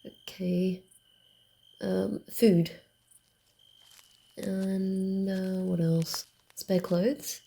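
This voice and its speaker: A woman voicing a wary, dryly teasing vampire in a soft ASMR roleplay, often dropping to a whisper, with a grumbling, hesitant manner. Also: medium pitch, little pitch movement, drawn-out words, vocal fry, long pauses. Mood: guarded, bossy.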